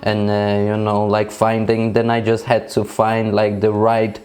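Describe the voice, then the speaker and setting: A man's voice: lowish voice, monotone, measured pace, darkish tone. A man from Denmark, a native speaker of Danish and Polish, talking in a casual conversation with many fillers and restarts.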